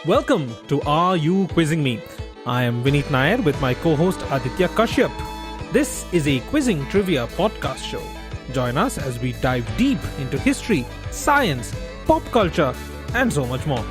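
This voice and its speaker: Lively male announcer over music. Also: a little high, normal speed. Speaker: podcast host. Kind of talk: show intro.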